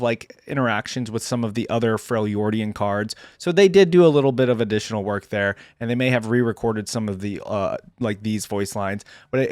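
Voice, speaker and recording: A man's voice: medium pitch, no echo. Man, casual commentary in a dry space. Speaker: reaction video host. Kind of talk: casual fan commentary on game voice lines.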